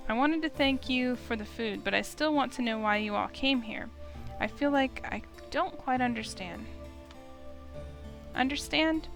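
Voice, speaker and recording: A woman's voice: high-pitched, measured pace, creaky, a bit bright, soft background music. A woman streamer reading a visual novel aloud, telling the story expressively in the first person. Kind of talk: expressive story read-aloud of game text.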